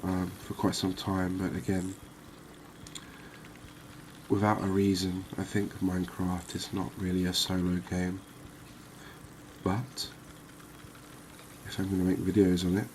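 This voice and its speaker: A man giving calm, unhurried, soft-spoken ASMR gameplay commentary, musing aloud as he plays. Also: low voice, slight background noise, rough recording. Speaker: gaming video host.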